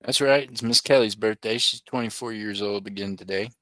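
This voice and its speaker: A man's voice: fairly low pitch, a little fast, fairly bright tone, no room sound. A middle-aged man hosting a live auction stream, chatting and joking with viewers by name. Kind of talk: casual livestream chat.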